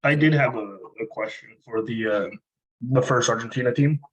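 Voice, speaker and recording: A man in a seminar asking another team a question, pausing to search for words. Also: mid-range voice, breathy edge, low-quality recording. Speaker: seminar participant.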